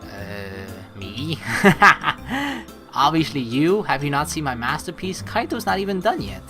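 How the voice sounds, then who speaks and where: A woman's voice: sits low, very animated, slow. A woman hosting a game playthrough, reading text aloud and commenting in a rambling, casually sweary way. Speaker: let's play host. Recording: game music behind the voice.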